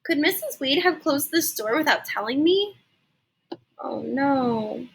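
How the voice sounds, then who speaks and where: A woman reading a children's story aloud like a teacher, animated and explaining things simply for young listeners. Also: high-pitched, slow pace, creaky, slight background noise, rough recording. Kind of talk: expressive children's read-aloud with explanatory asides.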